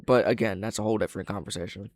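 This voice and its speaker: A man's voice: on the low side, steady tone, rapid-fire, darkish tone. An opinionated man hosting a casual reaction video, swearing freely and speaking close to the mic.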